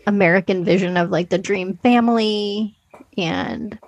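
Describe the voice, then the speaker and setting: A woman's voice: medium pitch, unhurried, dry recording. A woman co-hosting a podcast, discussing films casually in an informal, hedging, conversational way. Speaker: podcast co-host.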